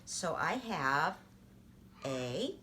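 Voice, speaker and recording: A woman storyteller and teacher reading aloud and explaining for children, with deliberate, emphatic phrasing. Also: deep voice, sing-song, bright, forceful tone, a little hiss or hum. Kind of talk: children's read-aloud and simple lesson.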